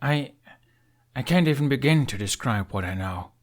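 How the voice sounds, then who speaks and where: Audiobook role: young dragon rider played by a male narrator, awkward but earnest, emphatic, pitched up from narration. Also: expressive, normal speed, natural voice.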